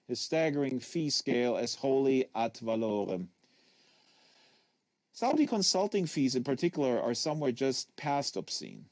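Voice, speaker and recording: A man reading a literary novel aloud as a narrator, leaning on phrases with deliberate emphasis. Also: mid-range voice, a little mellow, no echo. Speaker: audiobook reader. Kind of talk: live literary audiobook reading.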